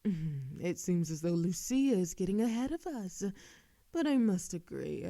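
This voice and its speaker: Woman as a slow, mellow, wry vampire. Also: fairly low pitch, sing-song, no echo, studio quality. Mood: calm, teasing. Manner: measured, soft, knowing. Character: composed, wryly teasing old-world vampire woman. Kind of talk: scripted ASMR audio roleplay acting.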